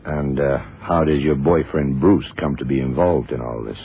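An actor playing a gruff, sardonic blind private detective in a radio drama, terse and wry, using slangy questions. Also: male voice, a bass voice, moderate pace. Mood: wry, probing. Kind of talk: 1960s-70s radio drama acting. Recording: muffled phone-like vintage radio, faint noise.

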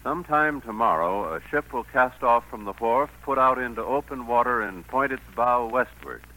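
A man's voice: medium pitch, animated, moderate pace, bright, forceful tone, low-quality recording. An American man reading a scripted sponsor message in formal 1940s radio style. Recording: 1940s radio broadcast recording.

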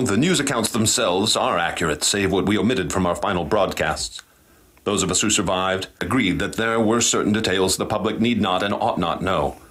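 Grave professor narrator, low husky voice. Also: male voice, a bit bright. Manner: grave, deliberate storytelling. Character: grave older male professor recalling horrors. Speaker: interviewee and narrator.

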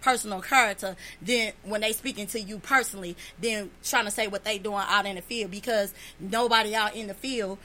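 Panelist, woman, 50s, blunt and emphatic, quick and bright. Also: mid-range voice, steady tone. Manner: blunt, emphatic, speaks her mind. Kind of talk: heated round-table discussion.

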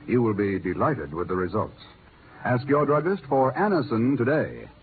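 A man announcing on a 1950s radio program, reading a script in a polished, emphatic, deliberate way. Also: medium pitch, sing-song, background noise. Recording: muffled 1950s radio broadcast recording.

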